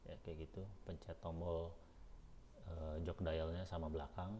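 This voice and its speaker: Man, very low voice, informal product reviewer, unscripted and hesitant, noticeable background noise. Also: level tone, a little slow, warm tone. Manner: hesitant, thinking aloud, informal. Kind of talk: casual unboxing vlog, unscripted.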